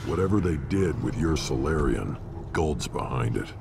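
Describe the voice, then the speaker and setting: An actor playing a gruff, cynical alien clan warrior in a video game, blunt and dismissive, with a deep gravelly voice. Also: male voice, animated, normal speed, loud music behind the voice, heavily acted. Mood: gruff, scornful. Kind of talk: video game character dialogue.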